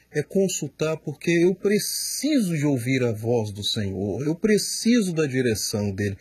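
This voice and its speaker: A man, a pastor, answering questions in a studio, slow and emphatic, often repeating words as he explains. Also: on the high side, a little mellow, breathy edge, dry recording. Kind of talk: studio Q&A teaching.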